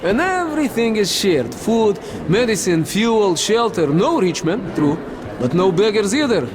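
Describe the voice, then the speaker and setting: An actor playing a talkative, falsely friendly military major in a video game, with a Russian accent and expressive, chatty delivery. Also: male voice, sits high, unhurried, crowd noise. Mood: earnest, opinionated. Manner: chatty, expressive, peppering speech with foreign exclamations. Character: boisterous, talkative Red Line army major, falsely friendly.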